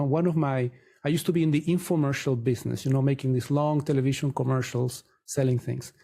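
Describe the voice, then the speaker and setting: A man's voice: on the high side, warm tone. A middle-aged man from Chile, a Spanish speaker, telling his story conversationally as an interview guest. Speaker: podcast guest, mortgage broker.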